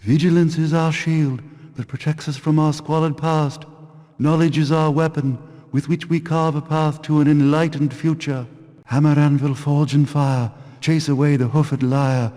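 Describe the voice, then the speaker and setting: An actor playing a devout religious zealot in a video game, reciting scripture in a solemn, measured, preaching manner. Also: male voice, on the high side, flat delivery, dark tone, lightly acted. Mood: reverent. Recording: reverberant hall-like echo.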